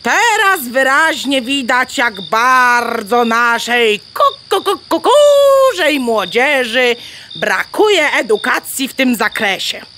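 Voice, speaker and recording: A woman's voice: high voice, big pitch swings, takes it slowly, pushed and bright, slight background noise, full character voice. A woman playing a bossy, self-important hen diplomat in a children's audio play, lecturing pompously with clucks between words.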